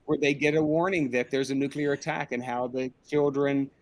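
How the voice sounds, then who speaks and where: A middle-aged man with a mid-pitched voice answers as an interview guest. He talks conversationally over a video call from a room indoors. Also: fairly even intonation, moderate pace. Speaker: interviewed director and audio drama creator.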